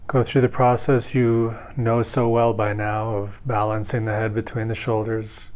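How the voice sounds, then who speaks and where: A man leading a guided meditation as its teacher, speaking gently and soothingly, in an unhurried way with long pauses. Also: lowish voice, little pitch movement, dull audio.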